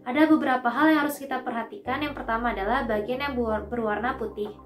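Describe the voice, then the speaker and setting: A woman's voice: on the high side, fairly even intonation, fast. A young woman narrates a scripted instructional demonstration, explaining each step politely and carefully. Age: young adult. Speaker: dental student demonstrating a procedure. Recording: echoey room with music behind.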